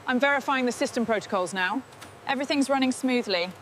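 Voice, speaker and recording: A woman's voice: high-pitched, quick pace, fairly bright tone. A woman giving short scripted status reports, like a factory technician. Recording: clear background noise.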